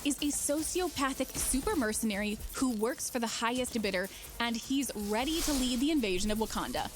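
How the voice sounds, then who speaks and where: A woman reading scripted promotional narration as a showcase presenter, with polished, measured announcer delivery. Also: a little high, lively intonation. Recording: prominent music under the narration.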